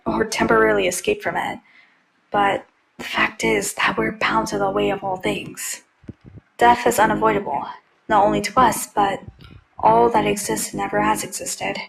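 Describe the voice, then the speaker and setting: A woman playing a sarcastic, foul-mouthed, nihilistic robot schoolgirl in a fan dub of a video game, with a brash, gravelly delivery. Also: mid-range voice, rough recording. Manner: brash, sardonic, turning to bleak monologue.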